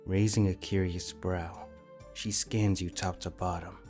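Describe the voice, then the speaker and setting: Deep-voiced man narrating a fantasy story. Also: level tone, unhurried, darkish tone. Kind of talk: scripted second-person fantasy story narration. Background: faint music.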